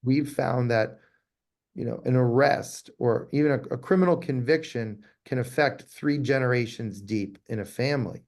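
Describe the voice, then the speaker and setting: A man's voice: mid-range voice, a little mellow. A man speaking conversationally as an interviewed law firm owner, emphatic and often repeating his key phrases.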